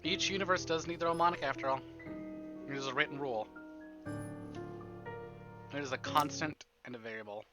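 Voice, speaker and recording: A man's voice: a little high, brisk, long pauses, music in the background. A man hosting a casual livestream, chatting to viewers in a playful, rambling, exclamatory way and often repeating himself. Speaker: livestream host, gamer.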